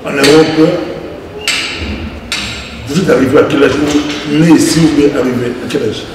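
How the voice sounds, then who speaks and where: A man hosting a live interview, asking quick, friendly questions. His voice sits a little high. It comes from far away, with long echoing reverb and noise behind. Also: fairly even intonation. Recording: echoey, distant, noisy.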